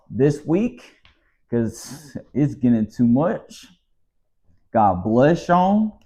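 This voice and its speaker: Weary exasperated American man, slow. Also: mid-range voice, dark tone. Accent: Southern American. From United States. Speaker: vlogger. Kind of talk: casual monologue to camera. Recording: slightly dull lo-fi sound.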